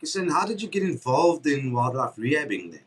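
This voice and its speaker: A middle-aged man hosts and asks interview questions in an easygoing, gently prompting way. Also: on the high side, big pitch swings, a little fast, far from the mic. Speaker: host and interviewer. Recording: voice set back from the mic.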